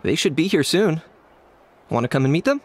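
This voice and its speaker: An actor playing a calm, wry young adventurer in a video game. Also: male voice, on the high side, a little fast, noisy background.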